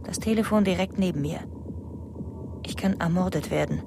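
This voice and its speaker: A woman's voice: fairly low pitch, moderate pace, background noise, natural voice. An actress playing an anxious, fearful wife in a radio drama, narrating her night thoughts in clipped fragments. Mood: anxious, detached. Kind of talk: radio drama inner monologue.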